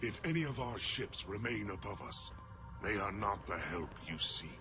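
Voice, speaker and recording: A man as a solemn, deep alien warrior commander. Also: lively intonation, normal speed, close to an everyday voice. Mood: grave, foreboding. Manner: stately, weighty, formal. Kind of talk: game cutscene acting. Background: music.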